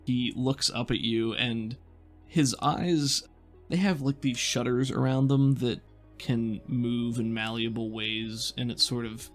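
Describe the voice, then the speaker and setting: A man's voice: mid-range voice, fairly even intonation, takes it slowly, soft background music. A man working as game master, narrating a tabletop game in a descriptive, conversational way as he thinks aloud.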